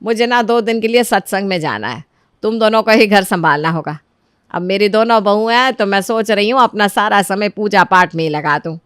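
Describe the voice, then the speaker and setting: A woman voicing a devout, authoritative older mother-in-law who is quick to scold, in a Hindi moral story. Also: medium pitch, animated, clean tone, no echo, clean studio sound, close to an everyday voice. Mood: matter-of-fact.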